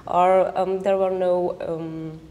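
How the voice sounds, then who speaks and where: A woman's voice: fairly low pitch, fairly even intonation, extremely slow, mellow. A woman with a Czech accent and Vietnamese as her native language, talking thoughtfully as a panel guest and often repeating words as she searches for them. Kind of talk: panel discussion. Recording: long hall reverb.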